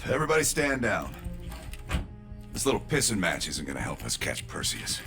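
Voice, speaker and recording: An actor playing a stern, controlled CIA team leader in a Cold War video game, terse, deliberate and authoritative. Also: male voice, low voice, very animated, music in the background. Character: stern, controlled male CIA operative leading a covert team.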